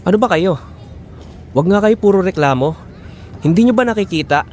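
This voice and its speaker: A man's voice: high-pitched, lively intonation, normal speed, a little mellow, a little hiss or hum. A man playing a hopeful, stubborn husband and father in an audio drama, reassuring and insistent.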